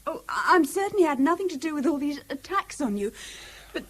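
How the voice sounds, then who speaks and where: A woman's voice: high-pitched, sing-song, fairly quick. An actress playing an earnest, troubled English schoolgirl in a British radio drama, hesitant, polite and confiding. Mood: worried, confiding. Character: earnest, troubled teenage schoolgirl, loyal and anxious.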